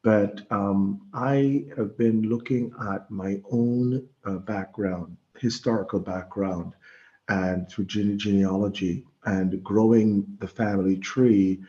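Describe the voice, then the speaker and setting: A middle-aged man from the Bahamas giving an informal religious talk online, speaking haltingly and often repeating words as he goes. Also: fairly low pitch, fairly even intonation, dark tone, lo-fi sound. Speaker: guest presenter. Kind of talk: informal religious lecture over an online meeting.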